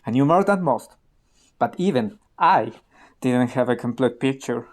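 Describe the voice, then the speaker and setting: A man playing a candid private security insider in a radio play, explaining events in a measured, matter-of-fact way. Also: medium pitch, lively intonation, natural voice.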